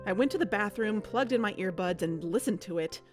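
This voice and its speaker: An uneasy, watchful woman played by an actress leads a scripted audio drama. Her voice sits at a middle pitch, with faint music behind it. She speaks as someone quietly investigating and on her guard. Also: expressive, fairly quick, natural voice. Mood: tense recounting. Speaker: narrator and lead character of an audio drama. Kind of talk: scripted first-person narration and dialogue.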